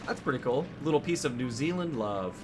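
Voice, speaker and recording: A man hosts a game stream and chats in a laid-back, joking tone at an ordinary pitch. Loud game music runs under his voice. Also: lively intonation, a little fast. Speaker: streamer host. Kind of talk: casual chat.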